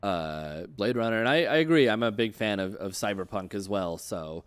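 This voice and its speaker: Guy hosting a chill stream interview, smooth lowish voice, informal, encouraging. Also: animated, normal speed. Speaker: host interviewer. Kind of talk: casual livestream interview.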